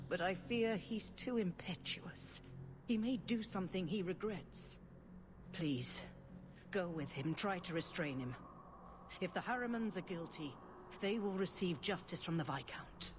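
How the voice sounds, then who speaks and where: An actress playing an elderly female grand cleric in a game, speaking in a measured, formal, gently authoritative way. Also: on the low side, expressive. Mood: solemn counsel. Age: older. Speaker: senior cleric. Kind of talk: dramatic game dialogue. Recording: clearly noisy ambience behind voice.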